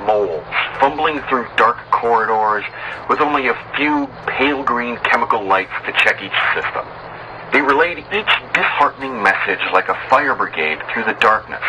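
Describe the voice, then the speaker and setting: A man reading a first-person horror story as dramatic scripted narration, in a measured, solemn, literary manner. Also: medium pitch, heavy background noise.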